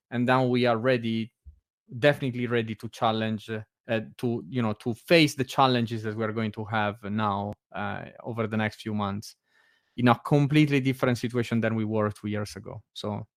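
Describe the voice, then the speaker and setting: A man's voice: medium pitch, no room sound, clean studio sound. A man from Italy with an Italian accent, chatting casually on a video call and hesitating often with fillers and restarts. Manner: laughs often. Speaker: meetup guest. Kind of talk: casual chat.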